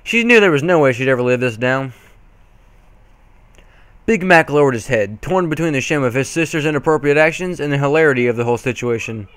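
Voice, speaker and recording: A man's voice: medium pitch, lively intonation, a little fast, a bit bright, smooth, no echo. A man doing an amateur dramatic reading of a story, reluctant and stumbling over words now and then. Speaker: reader of fan fiction.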